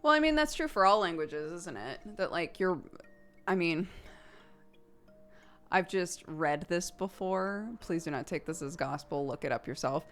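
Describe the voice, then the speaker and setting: A woman in her thirties chats casually as she plays a video game, her voice sitting a little low. She rambles from one thought to the next and breaks into laughter often. Soft game music plays faintly under her desk-mic voice. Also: animated, a little slow. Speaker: streamer. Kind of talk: casual chat while playing a video game.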